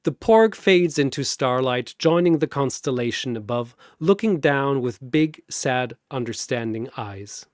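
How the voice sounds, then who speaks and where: A man narrating a scripted battle story in a theatrical, deliberate way, like a dramatic commentator. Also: medium pitch, expressive, no room sound, studio quality.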